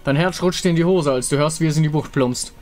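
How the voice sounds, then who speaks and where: A man reading scripted story narration for a fantasy game in a measured, dramatic way. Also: fairly high pitch, darkish tone.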